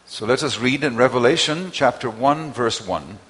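A man preaching in English through an interpreter, speaking in short phrases with pauses left for the translation. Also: mid-range voice, animated, a bit bright. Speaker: guest preacher. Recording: long hall reverb.

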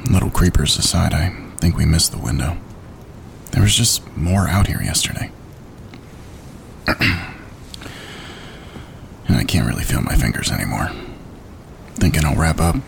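An actor playing a weary, laconic male wildlife photographer in an audio drama, with a gravelly voice and low-key delivery. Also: animated, a little slow, long pauses, exaggerated voice. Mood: weary, flat. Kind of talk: naturalistic audio drama acting. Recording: rumbling background noise.

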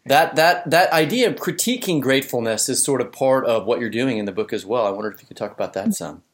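A man's voice: medium pitch, lively intonation, quick pace. A man hosting a podcast interview in an informal, conversational way, with hesitant phrasing. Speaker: podcast host.

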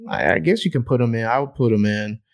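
A man's voice: medium pitch, big pitch swings, quick pace, gravelly. Stat-reciting man, podcast co-host. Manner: stat-heavy, repeats words while thinking. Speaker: fantasy football podcast co-host. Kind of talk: casual sports podcast chat.

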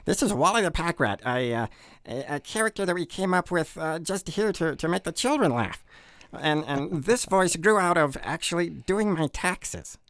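A comical cartoon pack rat. The voice is raised high and swoops around in a silly way, recorded dry and close. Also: male voice, lively intonation, normal speed, fairly bright tone, dry recording. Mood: playful. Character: comical pack rat made up to amuse children.

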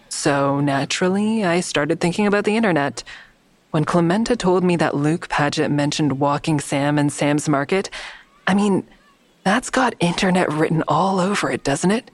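A persistent amateur sleuth with a notably low voice, in a dry close recording. She is wry and matter-of-fact as she presses her case in naturalistic audio drama dialogue. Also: a little slow, no room sound. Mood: persuasive, earnest. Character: wry, persistent female amateur investigator bargaining with police.

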